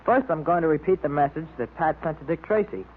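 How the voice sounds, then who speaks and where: A performer playing an eager, earnest boy club leader on a vintage radio show, speaking deliberately. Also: on the high side, slightly rough. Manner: deliberate, reads out codes word by word. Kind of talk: 1930s radio club announcements. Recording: tinny old radio recording.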